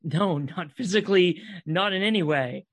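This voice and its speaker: Casting: male actor for desperate, traumatised kidnapper in a radio play, slightly high male voice, clipped, accusatory, insistent. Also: normal speed, fairly bright tone. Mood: bitter, anguished. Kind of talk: read radio drama dialogue.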